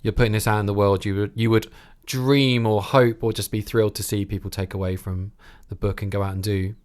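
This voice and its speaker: A man's voice: lowish voice, flat delivery, a little fast, darkish tone. A man hosting an interview podcast, putting thoughtful, exploratory questions to his guest in a conversational way.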